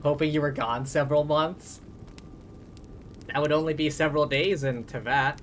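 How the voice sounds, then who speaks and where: A man's voice: on the high side, steady tone, moderate pace, a bit bright, a little hiss or hum. A man streaming a video game, giving casual live commentary, musing aloud in a jokey way.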